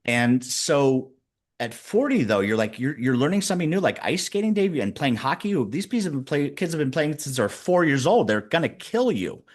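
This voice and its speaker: A man in his fifties, a guest executive coach, telling stories in a casual interview with lively, shifting pacing. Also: a little high. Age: 50s. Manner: animated storyteller, speeds up and slows down.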